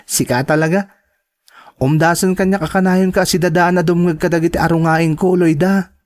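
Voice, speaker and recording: Actor as high-voiced earnest young Filipino student. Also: male voice, steady tone, moderate pace, natural voice. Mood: earnest, confiding. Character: earnest, determined young male college student. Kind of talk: Ilocano radio-style drama acting.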